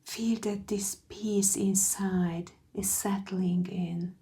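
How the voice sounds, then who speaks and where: Slow, mellow woman guiding meditation. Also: mid-range voice, fairly even intonation. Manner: soothing, gentle, unhurried with long pauses. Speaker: meditation guide. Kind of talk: guided meditation narration.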